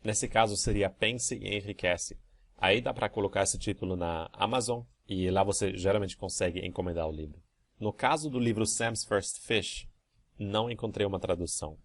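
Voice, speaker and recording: Low-voiced man teaching a language course. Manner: calm, methodical explaining. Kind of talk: answering student emails in a course video.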